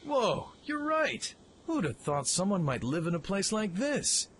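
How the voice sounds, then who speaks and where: A man's voice: sits high, sing-song, unhurried, fairly bright tone, slight background noise, exaggerated voice. A man playing a cocky, self-reliant young mech pilot in a radio play, with a brash, swaggering delivery. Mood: curious, eager.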